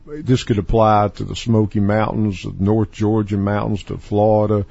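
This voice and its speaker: A man's voice: lowish voice, flat delivery, moderate pace, vocal fry, mellow, no echo, dull audio. A man hosting an interview, asking folksy, rambling questions full of fillers. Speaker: interview host. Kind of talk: conversational interview.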